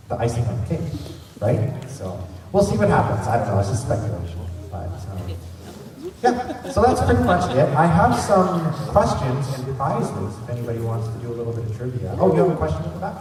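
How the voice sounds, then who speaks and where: A man hosting a fan convention panel, talking informally and a bit ramblingly to a live audience in a big hall. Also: medium pitch. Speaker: panel host running a fan convention trivia session. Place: large indoor convention hall. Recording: very echoey hall from far off, noisy. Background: room ambience and noise.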